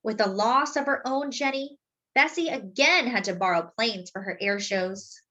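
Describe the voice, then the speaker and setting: A woman reading a picture book aloud as a storyteller, with deliberate, dramatic phrasing and words drawn out for emphasis. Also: fairly high pitch, bright, forceful tone, dull audio. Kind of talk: expressive children's read-aloud narration.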